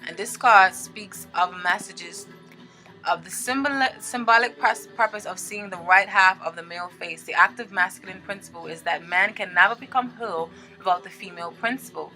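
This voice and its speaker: A woman's voice: mid-range voice, normal speed, pushed and bright, soft background music, low-quality recording. A woman hosting a tarot reading in a casual, chatty way, informal and playful with rambling asides and mild swearing.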